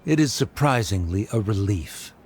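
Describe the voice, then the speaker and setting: A man's voice: lowish voice, lively intonation, normal speed, darkish tone, a little hiss or hum, lightly acted. A man playing a stern, middle-aged scholarly father in an anime game, talking in a pompous, disdainful way. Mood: haughty.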